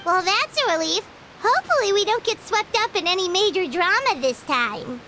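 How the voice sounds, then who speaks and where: A woman voicing a small, excitable, childlike fairy companion with a squeaky voice in an anime game. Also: moderate pace, a little hiss or hum, heavily acted. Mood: curious, musing. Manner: exclamatory, refers to self in third person. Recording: loud music behind the voice.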